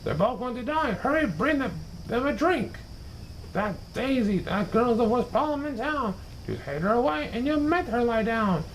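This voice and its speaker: A learned, self-important school principal as a male storyteller puts him on from a children's storybook. He declaims with wide swings of pitch over faint noise. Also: animated, moderate pace, low-quality recording. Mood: alarmed. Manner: declamatory, pompous.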